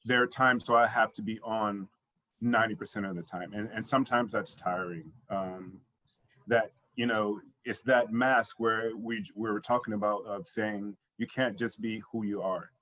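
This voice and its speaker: A man with a lowish voice sharing his view on a discussion panel. He speaks loosely and informally, with frequent ums and you-knows. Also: steady tone, moderate pace, bright, forceful tone, poor audio. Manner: loose, many fillers like um and you know. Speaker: panel guest.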